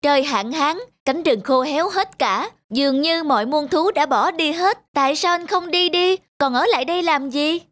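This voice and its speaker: A woman's voice: high-pitched, normal speed, few pauses, a bit bright, a little hiss or hum. A woman voicing a kindly, wise male messenger bird in a cartoon. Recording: music behind the voice.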